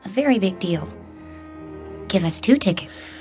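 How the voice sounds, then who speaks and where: A woman's voice: medium pitch, animated, a little slow, a little mellow, faint music behind, lightly acted. An actress playing an eager, determined married woman in a radio drama. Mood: determined, impatient.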